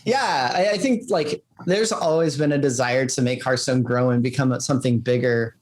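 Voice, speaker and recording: A man's voice: mid-range voice, moderate pace. A man answering interview questions as a game developer, casual and rambling, often saying "like". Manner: rambling, peppered with "like".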